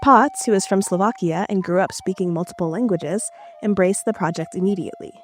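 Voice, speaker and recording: A woman's voice: medium pitch, fairly quick, soft background music. Woman reporter narrating a radio feature. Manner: clear, even storytelling.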